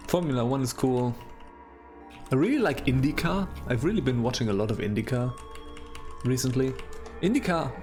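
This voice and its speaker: Male let's-play host with a German accent, mid-pitched, casual and rambling, self-deprecating. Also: expressive, moderate pace, long pauses, a little mellow, soft background music. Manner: rambling, chatty, self-deprecating, with frequent laughs and restarts. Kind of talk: casual gameplay commentary.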